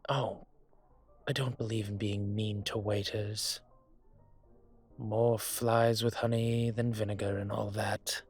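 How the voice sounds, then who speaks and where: Male actor as dragon crime boss, slow and measured, husky, hesitant pauses, brooding, polite, vengeful, intimate audio drama, faint music. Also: lowish voice, level tone. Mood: relaxed, courteous. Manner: measured, hesitant, pausing often. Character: dragon mafia boss, brooding, courteous, vengeful on a dinner date. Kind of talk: audio roleplay drama, intimate dinner conversation.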